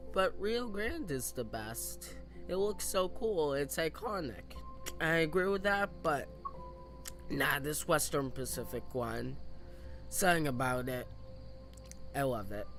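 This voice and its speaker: Slow-talking young man with music behind. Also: on the high side, fairly bright tone. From US East Coast. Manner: hesitant, lots of fillers and restarts. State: voice a little off from coughing. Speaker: game streamer. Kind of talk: casual gameplay commentary.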